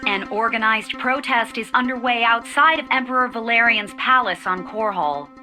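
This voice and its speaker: An actress playing a formal, brisk news reporter reading a scripted bulletin in a game. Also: female voice, a little high, sharp tone, faint music behind. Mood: neutral, formal.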